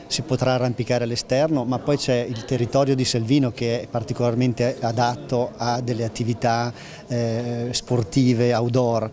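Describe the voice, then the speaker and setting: A man, explaining things in an interview as a spokesman. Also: medium pitch. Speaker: project spokesman. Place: indoor lobby. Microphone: handheld reporter microphone.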